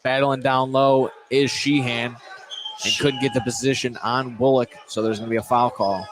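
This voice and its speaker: A man's voice: medium pitch, fairly even intonation, normal speed. A man doing live play-by-play basketball commentary for radio, repeating and correcting himself as he calls the action. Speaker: play-by-play sports announcer. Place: indoor basketball gym, courtside vantage point. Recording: clearly noisy gym ambience, bouncing balls. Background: gym noise and basketball bounces.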